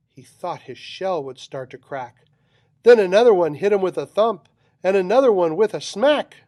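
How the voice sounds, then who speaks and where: A man's voice: on the high side, darkish tone, no echo. A man reading a children's picture book aloud with dramatic, rhythmic delivery of rhyming verse. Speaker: storybook reader.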